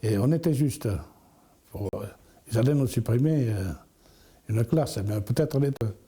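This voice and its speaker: A man's voice: medium pitch, unhurried, warm tone, husky. A man explaining how village matters went, in a plain-spoken way. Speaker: interviewed villager.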